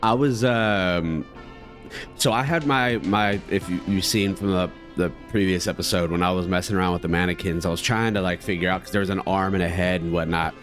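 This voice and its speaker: A man's voice: deep voice, fairly even intonation, brisk, a bit bright, faint music behind. A man giving casual let's play commentary, rambling in slang and talking to his viewers. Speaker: gaming video host.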